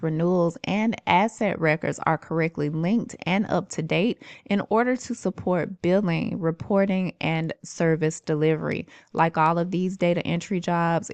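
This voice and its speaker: A woman with a low, clear and resonant voice narrates an informal on-screen walkthrough as a video host. She explains things in a conversational, reassuring way. The sound is close and very dry. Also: darkish tone, dry recording. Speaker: YouTube host presenting remote job listings.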